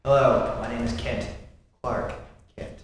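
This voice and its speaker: A man's voice: mid-range voice, flat delivery, measured pace, natural voice. A man playing an earnest, urgent newspaper reporter with a secret heroic side, in old-time radio drama style. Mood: insistent. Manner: earnest, emphatic. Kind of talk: old-time radio play acting on stage. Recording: echoey hall, faint background noise.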